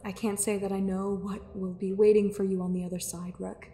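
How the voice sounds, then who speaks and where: A woman playing a weary, guarded sorceress in an audio roleplay, earnest and anxious, with a breathy, husky voice and hesitant, sighing phrasing. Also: medium pitch, little pitch movement, fairly quick, mellow, a little hiss or hum, close to an everyday voice. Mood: grave, worried. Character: weary guarded female sorceress, anxious, fiercely logical, secretly lonely. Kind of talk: dramatic audio roleplay acting.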